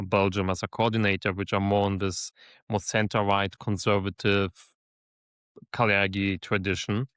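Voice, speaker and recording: A man hosting a podcast interview, measured and listening, with frequent fillers. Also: fairly low pitch, smooth, no echo, studio quality. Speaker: podcast host.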